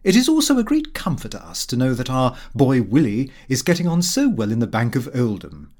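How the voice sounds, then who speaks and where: A man's voice: mid-range voice, wildly swooping pitch, fairly quick, a little mellow. A man voicing a prim, pompous, middle-aged Victorian city clerk reading his diary. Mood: self-satisfied, chatty. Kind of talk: audiobook narration of a comic diary.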